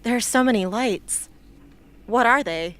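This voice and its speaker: A woman's voice: fairly high pitch, measured pace, fairly bright tone. A woman playing a determined, defiant teenage girl soldier in an amateur radio drama. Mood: uneasy awe. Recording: faint background noise and ambience.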